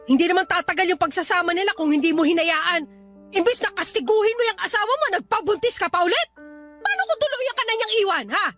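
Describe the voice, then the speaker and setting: A woman's voice: very high-pitched, animated, quick pace, a bit bright, faint music behind. A woman playing a blunt, exasperated mother scolding her grown daughter in a radio drama. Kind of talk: Tagalog radio drama acting.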